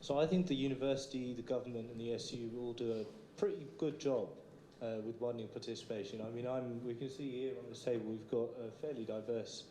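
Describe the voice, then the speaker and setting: A man's voice: medium pitch, a little fast, warm tone. A man from Iraq, a candidate, answering a debate question confidently with some fillers. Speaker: election candidate. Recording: echoey hall with some noise.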